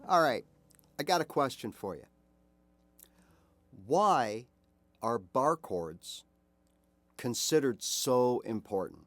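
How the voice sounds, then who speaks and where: An older man talks through a guitar lesson in a friendly, conversational way, his pitch rising and falling a lot as he poses questions to the viewer. The sound is dry and close, with almost no room echo around him. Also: sing-song, slow pace, long pauses, fairly bright tone. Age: 50s or older. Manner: chatty, encouraging, rhetorical questions, frequent "all right" and "right?". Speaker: guitar teacher. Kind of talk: casual video lesson to camera.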